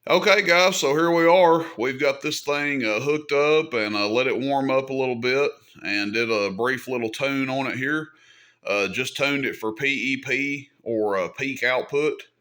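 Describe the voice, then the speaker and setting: A man walks viewers through a piece of hobby gear. His delivery is casual and folksy, at an ordinary pitch, with an easygoing habit of thinking out loud as he demonstrates. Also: unhurried. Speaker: host of a CB radio hobby channel. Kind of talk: casual hands-on equipment demonstration.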